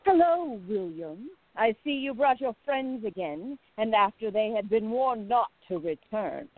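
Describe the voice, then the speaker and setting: A woman's voice: fairly high pitch, lively intonation, a little slow, dry recording. A woman playing an icy club henchwoman. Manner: icy, curt. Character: cold female club henchwoman and doorkeeper. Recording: dry tinny phone-like line.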